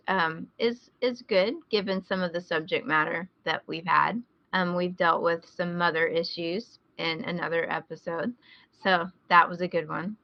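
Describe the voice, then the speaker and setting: A woman's voice: on the low side, dry recording. A woman hosting a podcast, chatting casually as she gives recommendations. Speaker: podcast host. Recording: slightly dull, lo-fi close recording.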